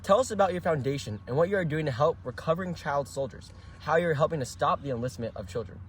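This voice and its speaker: A man's voice: fairly high pitch, lively intonation, fast, slight background noise. A young man playing a news analyst, earnestly reading scripted facts in an amateur news segment. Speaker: mock news analyst and field reporter.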